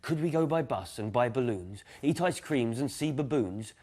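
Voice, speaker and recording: A man's voice: mid-range voice, expressive, normal speed, a little mellow, slight background noise, pitched above the performer's own voice, close to an everyday voice. A man voicing a playful, sleepy father in a children's picture-book story, teasing and childlike in his eagerness. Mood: eager.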